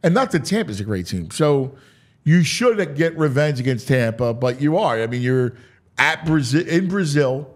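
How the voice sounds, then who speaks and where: Male sports host, slightly raised pitch, conversational and emphatic, casual talk-show delivery. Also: big pitch swings, moderate pace.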